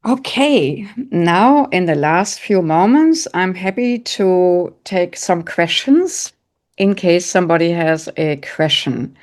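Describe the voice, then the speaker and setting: A middle-aged woman speaks with a strong German accent in a somewhat low voice. Her delivery is calm and gently instructive, built from short, repeated phrases as she leads an online workshop. She pauses now and then to take audience questions. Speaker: psychic medium and coach, guest speaker.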